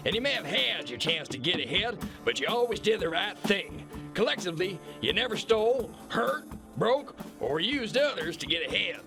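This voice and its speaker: A man's voice: sits high, sing-song, moderate pace, creaky, bright, forceful tone, faint music behind, heavily acted. A man playing a bombastic, corrupt kingpin boss in an audio drama, blustering, mocking and condescending. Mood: condescending, gloating. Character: bullying, corrupt alpha-male kingpin boss, bombastic and scornful. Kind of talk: theatrical radio-play acting.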